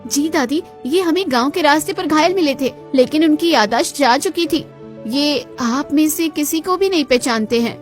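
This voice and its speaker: Woman playing an earnest high-voiced young village woman. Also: moderate pace, faint music behind, lightly acted. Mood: polite, earnest. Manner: earnest, urgent, expressive. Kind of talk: dramatized moral story acting.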